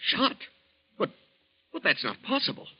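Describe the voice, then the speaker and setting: A man's voice: sits high, wildly swooping pitch, normal speed, slightly rough, no echo, full character voice. An actor playing a frantic young man accused of murder, who stammers and pleads in a 1940s radio drama. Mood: protesting. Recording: muffled dry old radio recording.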